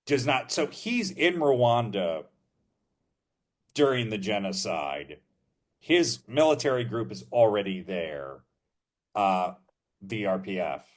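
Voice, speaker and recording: A man co-hosting a podcast, explaining history in a measured, casual way, thinking aloud with frequent ums and uhs. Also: mid-range voice.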